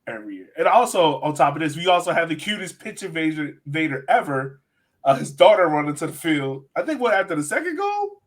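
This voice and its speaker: A man's voice: on the high side, very animated, brisk. A man hosting a sports podcast, chatting casually and emphatically about soccer in an informal way. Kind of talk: casual chat, soccer analysis.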